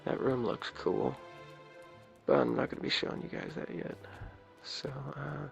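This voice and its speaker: A man with a low raspy voice giving rambling, playful ASMR commentary as he plays a video game. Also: normal speed, faint music behind. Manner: rambling, playful, jokingly self-important. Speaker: game streamer. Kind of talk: ASMR let's play commentary.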